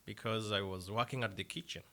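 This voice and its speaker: Bright-voiced man with a Kenyan accent. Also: on the low side. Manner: halting, many fillers and restarts. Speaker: deacon, interview guest. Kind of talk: interview answers.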